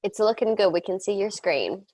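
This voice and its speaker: A woman host on a video call, reading a prepared introduction at a steady pace. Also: medium pitch, moderate pace, dry recording. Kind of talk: scripted introduction.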